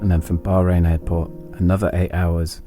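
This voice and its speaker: A middle-aged English man tells an autobiographical story in a reflective way, phrasing it deliberately in short lines. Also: sits low, little pitch movement. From England. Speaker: podcast host and narrator. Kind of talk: autobiographical storytelling, scripted narration. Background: instrumental music.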